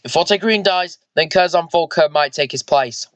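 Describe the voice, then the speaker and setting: A man's voice: a little high, normal speed, a bit bright, no room sound, voice pushed up, lightly acted. A man voicing an eager, vengeful squad leader and mech pilot in an amateur sci-fi story, informal and explanatory. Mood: determined, vengeful.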